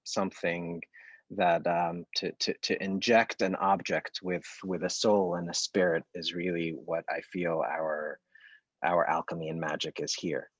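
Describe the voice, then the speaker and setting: A man's voice: sits low, flat delivery, measured pace, husky, no room sound, dull audio. A man from the United States, a creative director, talking earnestly in a casual panel conversation and searching for his words.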